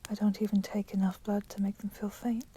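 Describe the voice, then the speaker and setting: A woman voicing a proud, resentful female vampire in a whispered ASMR roleplay, speaking softly and deliberately in formal, menacing phrases. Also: mid-range voice, flat delivery, close to an everyday voice. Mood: coolly teasing. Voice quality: soft, breathy whispering. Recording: constant crackling fire noise behind voice. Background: crackling fire.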